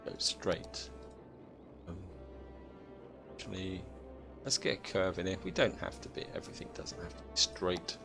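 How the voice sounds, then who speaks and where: A British man with a croaky voice from a chest cold, chatting casually as he thinks aloud through a city-building game. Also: low voice, moderate pace, long pauses, music in the background. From Britain. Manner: thinks aloud, chatty, addresses viewers as guys. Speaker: gameplay commentator.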